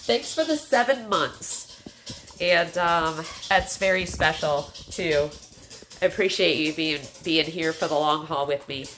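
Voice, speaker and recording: A woman's voice: sits low, measured pace, a bit bright. A woman in her fifties chatting casually as a livestream host, rambling with many fillers. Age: 50s. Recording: echoey room with background music.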